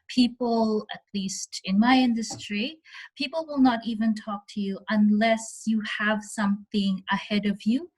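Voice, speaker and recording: A woman with a mid-pitched voice answers as a founder on an online panel. She gives measured advice, phrasing it deliberately and with emphasis. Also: rough recording. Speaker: media software founder on a panel.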